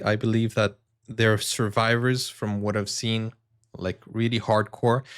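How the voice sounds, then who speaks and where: Brazilian man, American-accented, rambling. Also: medium pitch. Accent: American-accented English. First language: Portuguese. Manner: rambling, with many fillers and self-corrections; earnest, addresses viewers directly. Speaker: reaction video host. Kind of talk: casual reaction commentary.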